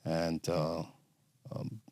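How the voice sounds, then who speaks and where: Deep-voiced man, dry close sound. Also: completely flat delivery, a little mellow, no room sound. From Romania. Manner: halting, many fillers and restarts. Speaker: podcast guest. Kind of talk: conversational interview storytelling.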